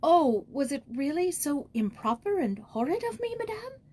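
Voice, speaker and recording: Woman as an earnest, high-voiced young girl. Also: very animated, normal speed, darkish tone. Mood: anxious, wistful. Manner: earnest, wide-eyed, questioning. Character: eager, earnest young American girl, sweet-natured.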